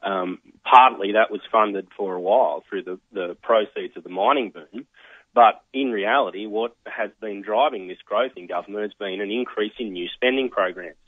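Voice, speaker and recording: An Australian man giving measured, analytical explanations as an expert guest in a radio interview. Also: lowish voice, dry recording. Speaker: think tank research fellow, interview guest. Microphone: telephone. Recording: tinny narrow phone line.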